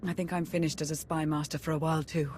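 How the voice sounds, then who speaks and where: Woman acting cool, low-pitched spymaster. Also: flat delivery, fast, noisy background, close to an everyday voice. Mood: sober, composed. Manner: composed, precise. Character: cool, pragmatic female spymaster and adviser. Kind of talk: video game cutscene acting.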